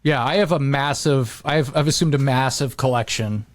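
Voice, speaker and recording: A man's voice: mid-range voice, normal speed. A middle-aged man hosting a podcast in a casual, slangy, chatty way. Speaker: podcast host.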